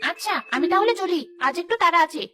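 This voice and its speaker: A woman voicing a protective, devoted ghost mother in a cartoon, with a brisk, expressive delivery. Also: extremely high pitch, faint music behind, full character voice. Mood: fond. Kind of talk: cartoon character acting.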